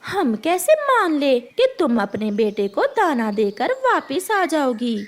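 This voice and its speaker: Woman as a firm, slow-speaking hunter bird wife. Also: high-pitched, very animated, voice pushed down, exaggerated voice. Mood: doubtful. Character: stern but softening female hunter bird, wife.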